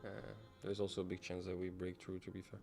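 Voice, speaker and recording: Laid-back young man, low voice. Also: monotone, fast talker, creaky, mellow. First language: Dutch. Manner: casual, loose, musing half to himself. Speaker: trading livestreamer. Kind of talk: live-stream commentary, thinking aloud.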